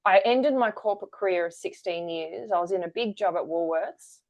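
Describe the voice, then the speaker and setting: A woman's voice: fairly low pitch, a little fast, a little mellow, highs cut off. A woman, a guest telling her story candidly in an interview. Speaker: guest, energy-work founder. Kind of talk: conversational interview.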